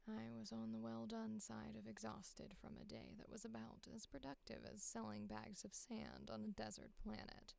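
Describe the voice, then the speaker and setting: A woman voicing a hard-boiled, world-weary female mercenary in a noir audio drama, husky and breathy with a deadpan, brooding delivery. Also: normal speed, few pauses. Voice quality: husky, breathy, gravelly low register.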